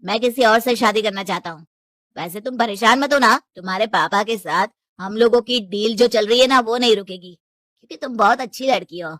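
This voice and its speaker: A man's voice: sits high, fairly quick, pushed and bright, no echo. Offhand young rich husband played by a man. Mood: detached. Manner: casual, offhand. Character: blasé young rich groom, callous but polite.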